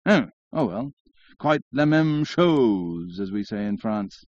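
A man voicing an evasive, self-important society gentleman who drawls out his syllables in an affected way. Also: on the low side, moderate pace, dry recording, muffled recording, close to an everyday voice. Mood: coy, drawling.

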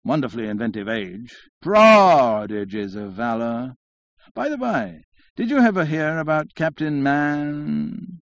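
A man voicing an evasive, self-important society gentleman who drawls out his syllables in an affected way. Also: fairly low pitch, normal speed, no echo, lo-fi sound, close to an everyday voice. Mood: affected, knowing.

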